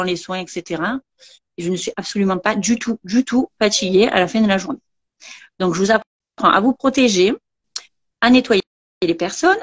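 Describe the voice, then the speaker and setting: A woman with a southern French accent chats about her work in a dry, close-sounding room. She talks in a halting way, full of fillers and restarts. Her pitch sits in a middle range. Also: no room sound. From southern France. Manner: hesitant, many fillers and restarts. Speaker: massage trainer and beautician. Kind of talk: casual interview chat.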